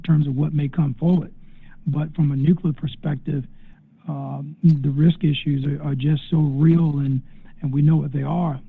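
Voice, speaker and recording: A man answering questions as a company executive on an earnings call, speaking haltingly and repeating words. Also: fairly high pitch, vocal fry, slightly rough, no room sound. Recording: dull band-limited conference call audio.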